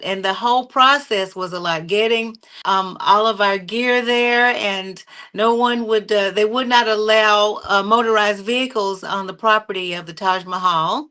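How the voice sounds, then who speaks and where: Woman guest singer, bright tone, easy anecdotal delivery. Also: medium pitch, a little slow, clear, resonant tone. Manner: warm storytelling with fillers and small laughs. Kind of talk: casual interview conversation.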